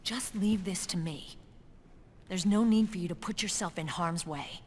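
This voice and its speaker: A woman's voice: on the low side, moderate pace, a little hiss or hum, close to an everyday voice. An actress playing a tough, defiant female military commander in a video game, firm and earnest in her delivery. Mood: pleading, earnest. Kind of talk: cinematic video game voice acting.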